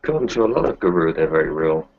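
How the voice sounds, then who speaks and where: A man with a deep, rough, husky voice takes part in a tabletop roleplaying game. His delivery is casual, in-character conversation, heard through slightly muffled audio. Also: big pitch swings, racing. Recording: dull, lo-fi voice recording.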